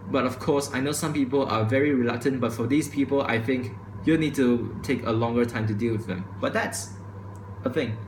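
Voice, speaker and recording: A young man, a student, giving his casual opinions to a camera. He stutters and restarts his sentences, and says he talks too quickly. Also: mid-range voice, background noise. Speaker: student vlogger. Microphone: camera microphone. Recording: echoey room with faint ambience.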